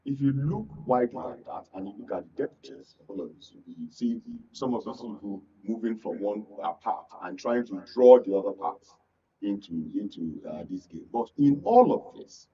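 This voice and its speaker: A Nigerian man speaking as a guest in a political panel discussion, halting and repeating words for emphasis. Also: lowish voice, expressive, slight background noise. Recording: dull, slightly distant studio sound.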